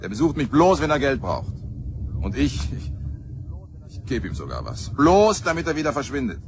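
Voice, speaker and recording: A man's voice: medium pitch, very animated, normal speed. A man playing a chatty, disdainful husband in a crime radio play, talking colloquially and hesitantly. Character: chatty widowed husband, disdainful of his brother. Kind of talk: naturalistic radio drama dialogue. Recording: clear vehicle noise behind.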